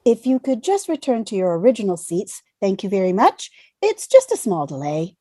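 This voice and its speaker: A woman's voice: mid-range voice, wildly swooping pitch, normal speed, dark tone, no room sound, studio quality. A woman playing a polite, placating space-tour hostess with formal service manners in a sci-fi table read.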